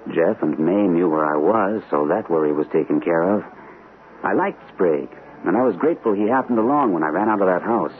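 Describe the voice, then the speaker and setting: A troubled, earnest captain in an old radio mystery. His voice sits fairly low and carries an anxious edge. The recording is tinny, with clear noise throughout. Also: animated, brisk. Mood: reflective. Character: troubled army captain recovering from shell shock. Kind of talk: vintage radio mystery acting and first-person narration.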